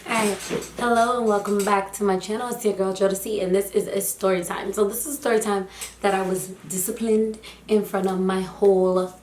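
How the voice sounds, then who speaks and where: A young woman telling a casual storytime vlog, chatty and animated with lots of fillers, her voice slightly hoarse. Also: mid-range voice, brisk, a little hiss or hum. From United States, Haitian family. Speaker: vlogger, teacher. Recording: echoey room.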